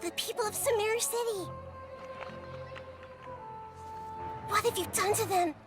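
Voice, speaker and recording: A girlish goddess of wisdom with an extremely high voice, gentle and composed. She speaks in a measured, earnest video game style over soft music. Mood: urgent, resolute.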